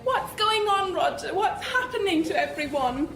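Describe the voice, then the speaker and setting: Woman acting a scared tearful daughter in an audio drama, super high voice, echoey room. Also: animated, normal speed, fairly bright tone, a faint crowd, heavily acted. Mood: tearful, pleading. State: distressed, tearful. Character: frightened, pleading daughter, tearful and desperate. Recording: echoey room with faint noise.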